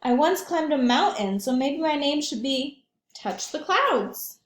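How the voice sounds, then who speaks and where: Woman reading as a high-voiced, spirited storybook boy. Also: expressive, measured pace. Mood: frustrated, determined. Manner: earnest, emphatic storybook narration. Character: spirited young boy longing for his own name. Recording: echoey room.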